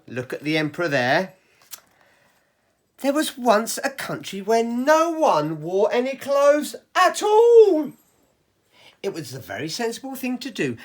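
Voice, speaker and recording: A woman reading a story to children in a theatrical, playful and emphatic way. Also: mid-range voice.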